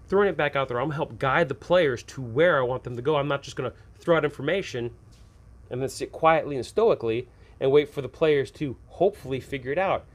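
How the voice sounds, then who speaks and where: A man hosting an informal advice video for game masters, talking conversationally and emphatically and often repeating his points. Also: medium pitch. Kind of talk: informal advice talk to viewers about running tabletop games.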